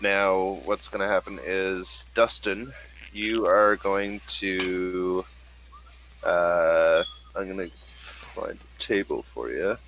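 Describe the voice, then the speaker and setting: A man running an online tabletop game as game master, talking haltingly and muttering as he goes. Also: low voice, steady tone, a bit bright, slight background noise, poor audio.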